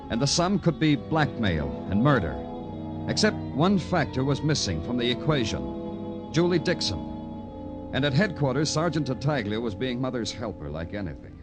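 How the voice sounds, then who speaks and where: An actor with an American accent playing a weary, sardonic police detective in a 1950s radio crime drama, wry, deadpan and clipped. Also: male voice, medium pitch, close to an everyday voice. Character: weary, sardonic male city police detective narrating his case. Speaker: lead actor and narrator. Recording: vintage radio recording with music.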